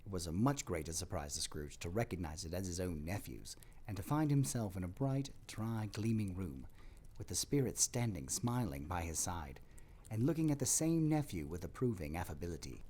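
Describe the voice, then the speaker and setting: A man narrating a scripted audio play as storyteller, in a dramatic, expressive manner. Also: low voice, normal speed, a little hiss or hum.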